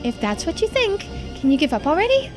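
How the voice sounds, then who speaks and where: Woman acting a high-pitched defiant young girl. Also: wildly swooping pitch, quick pace, music in the background, heavily acted. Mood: exasperated. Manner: cheeky, guarded, defiant. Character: bold defiant young girl with curse powers.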